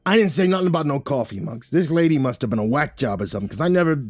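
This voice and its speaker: A man voicing a gruff, crude, irritable mob boss, in an audiobook. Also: a little high, animated, rapid-fire, no echo, faint music behind. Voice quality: slurred, growling. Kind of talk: audiobook character voice.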